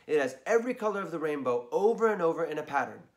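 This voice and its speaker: A hoarse man reading a children's story aloud, chatting in asides to his listeners. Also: fairly high pitch, very animated, quick pace.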